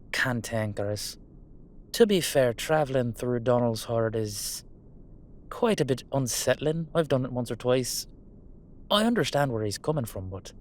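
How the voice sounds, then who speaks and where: Man acting a sweary, fond Irish dullahan. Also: mid-range voice. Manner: colloquial, sweary, hesitant, conversational. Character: gruff, fond, sweary male Irish dullahan heading home. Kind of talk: one-sided roleplay audio drama.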